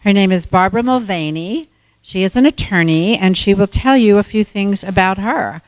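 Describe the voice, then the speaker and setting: A woman's voice: medium pitch, moderate pace, no room sound, poor audio. A woman emceeing a club meeting, introducing speakers in a casual, hesitant way.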